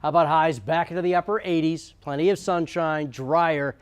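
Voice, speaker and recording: A man with an American accent and a fairly high-pitched voice gives a broadcast weather forecast. He talks viewers through it in a conversational on-air style. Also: fairly even intonation, normal speed, clean tone.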